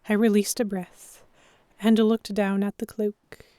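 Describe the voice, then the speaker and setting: A woman narrating a first-person historical romance story with careful, expressive, scripted delivery. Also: mid-range voice, slight background noise. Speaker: narrator and lead heroine.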